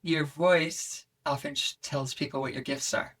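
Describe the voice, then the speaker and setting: A woman voice coach explaining her ideas in an animated, conversational way and breaking into demonstration sounds. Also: sits low, unhurried. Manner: expressive, demonstrates voices with yawns, howls and shouts. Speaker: voice coach guest.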